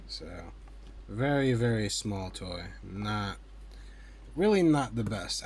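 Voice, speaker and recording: A man giving a casual hands-on toy review in a rambling, hesitant, conversational way. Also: on the low side. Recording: clear steady background noise.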